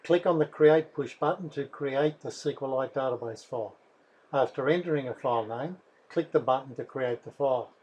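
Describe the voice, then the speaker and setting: A man narrates a scripted software tutorial. His voice is somewhat husky and sits at a medium pitch. He moves through each instruction methodically. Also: normal speed, lo-fi sound. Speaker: software developer presenting a tutorial.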